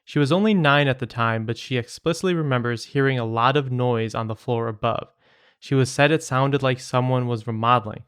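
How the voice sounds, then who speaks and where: A man's voice: mid-range voice, fairly quick, a bit bright, clean tone, no echo, clean studio sound. A man co-hosting a podcast, reading listener stories aloud and chatting casually with joking asides. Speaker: podcast co-host.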